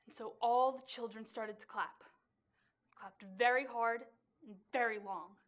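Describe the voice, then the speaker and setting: A woman's voice: fairly high pitch, a bit bright. A woman performing a stage monologue as a bewildered, intense young woman, with dramatic, emphatic storytelling. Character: young woman, bewildered and intense, recalling a traumatic childhood theater outing.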